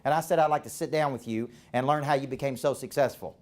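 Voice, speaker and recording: A man's voice: medium pitch, fast talker, a little mellow. A man with a Southern American accent coaching a live audience in a punchy seminar style, firing off rhetorical questions and checking that the room is with him. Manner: punchy, keeps checking in with the crowd. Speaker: business coach.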